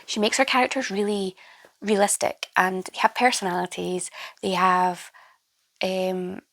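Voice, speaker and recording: A young woman with a croaky voice from a cold, chatting casually about her reading with many fillers and restarts. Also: medium pitch, flat delivery, measured pace, a bit bright. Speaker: book vlogger. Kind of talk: casual reading wrap-up chat.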